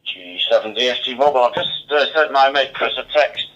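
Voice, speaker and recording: A man's voice: medium pitch, brisk, fairly bright tone. A middle-aged man, chatting casually and ramblingly as a radio ham over a two-way radio link. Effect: two-way radio transmission. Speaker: amateur radio operator. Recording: muffled digital two-way radio audio.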